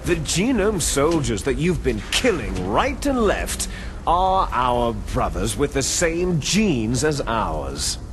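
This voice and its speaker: An actor playing a bitter, gloating villainous clone soldier in a video game, with a menacing, taunting, theatrical delivery. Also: male voice, mid-range voice, huge pitch swings, slow pace, background noise. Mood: grandiose, lecturing. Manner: menacing, taunting, grandiose monologuing. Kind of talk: dramatic video game cutscene acting.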